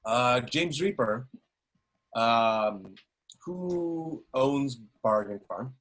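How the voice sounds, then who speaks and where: A man's voice: mid-range voice, lively intonation, very slow, fairly bright tone, full character voice. Male actor as village dignitary. Pompous, genial, formal, middle register. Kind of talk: film script table read.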